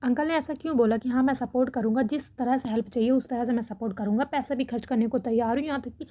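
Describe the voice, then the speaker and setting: A woman's voice: a little high, quick pace, mellow. A woman hosting a reaction video, giving opinion commentary to viewers in an earnest way, repeating phrases for emphasis. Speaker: reaction video host commenting on a family controversy.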